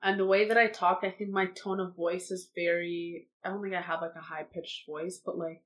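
A woman's voice: on the low side, level tone, normal speed. Talkative young woman, assertive delivery. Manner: talkative, unfiltered, heavy use of "like". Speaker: guest. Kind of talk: casual chat. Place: living room.

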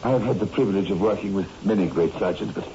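An earnest, loyal doctor in an old radio thriller. His voice is dark and slightly low, his delivery formal and emphatic. The sound is dull, with faint noise. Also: quick pace. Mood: respectful, solemn. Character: earnest, loyal male assistant surgeon. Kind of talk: 1960s radio horror drama acting. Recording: muffled, noisy old radio recording.

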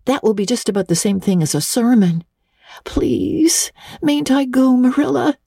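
A woman's voice: a little high, lively intonation, a little slow, vocal fry, warm tone, breathy edge, dry recording, studio quality, voice pushed up, full character voice. A woman voicing an eager, imaginative young girl in a storybook reading, breathless, gushing and earnest as she pleads. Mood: pleading. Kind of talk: dramatic audiobook reading of classic literature.

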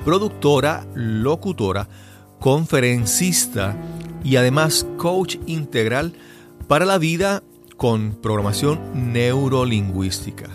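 A man hosting a podcast, introducing and interviewing in a conversational way. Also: medium pitch. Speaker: podcast host.

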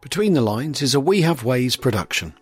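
Genial male host with a lively voice. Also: medium pitch, fairly quick, slightly rough, faint music behind. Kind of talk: scripted narration.

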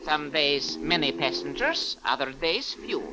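An actor playing a sly, formally polite cab driver from Cairo, in an old radio drama. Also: male voice, fairly high pitch, very animated, unhurried, music in the background, low-quality recording. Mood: smooth, evasive. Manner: formal, deferential phrasing. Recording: old radio recording with loud noise.

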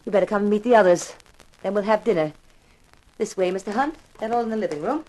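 Casting: actress as self-possessed young widow, mid-pitched, curt and formal, vintage radio mystery. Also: female voice, fast talker, dark tone, lightly acted, poor audio. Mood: polite hostess. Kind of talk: 1950s radio drama acting.

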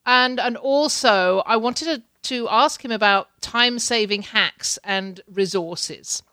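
A woman's voice: on the high side, slow pace, bright, forceful tone, clean tone, no room sound. Chatty woman hosting an interview. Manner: chatty, laughs often, repeats words. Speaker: podcast host. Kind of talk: casual interview chat. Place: home in Canada.

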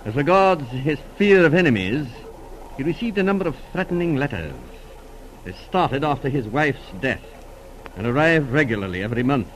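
An actor playing an earnest, courteous doctor in a 1940s radio drama, speaking in a measured, slightly hesitant way. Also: male voice, fairly high pitch, expressive, close to an everyday voice. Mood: grave, confiding. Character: earnest, courteous male physician confiding a mystery. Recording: noisy old radio recording.

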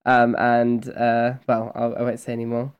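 A man's voice: mid-range voice, completely flat delivery, normal speed, clean tone, muffled recording. A tired man chatting casually as an interview guest, giggly and self-effacing, on an internet call.

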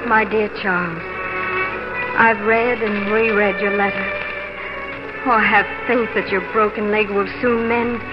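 Young prairie wife played by a woman, medium-pitched, earnest and emotionally exposed, for vintage radio melodrama with heavy music and dulled sound. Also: steady tone, unhurried, long pauses, close to an everyday voice. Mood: tender, wistful. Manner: earnest, theatrical letter narration. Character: frightened, devoted young pioneer wife and mother. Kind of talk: 1940s radio drama acting. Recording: muffled 1940s radio broadcast with music.

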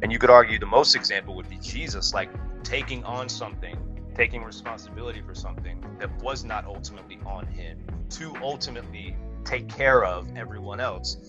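A man giving his opinions in a casual, slangy podcast conversation, animated and emphatic, often saying "bro". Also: lowish voice, brisk, lo-fi sound, over music. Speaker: podcast guest.

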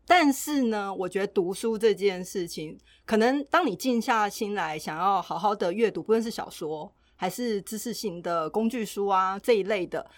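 A woman's voice: medium pitch, expressive, normal speed, few pauses, dry recording, clean studio sound. A woman with a Taiwanese Mandarin accent, chatting casually as a podcast co-host. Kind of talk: casual chat.

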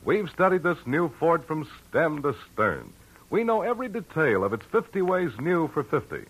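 A male announcer delivering a persuasive sales pitch in a 1950 radio commercial. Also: big pitch swings, normal speed, fairly bright tone, breathy edge, low-quality recording. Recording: old radio broadcast.

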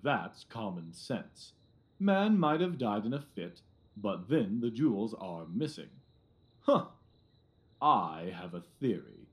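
A man's voice: fairly low pitch, full character voice. A man playing a pompous, self-satisfied middle-aged police detective in an audio drama, blustering and condescending with emphatic repetitions. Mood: self-important musing. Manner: blustering, condescending, repeats himself, emphatic pauses.

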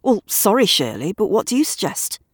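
A woman's voice: mid-range voice, very animated, normal speed, breathy edge, no echo, studio quality, pitched above the performer's own voice. Brief: female narrator doing a riled-up sarcastic bloke, storybook style. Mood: heated. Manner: heated, sarcastic arguing. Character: agitated middle-aged man, argumentative and sarcastic.

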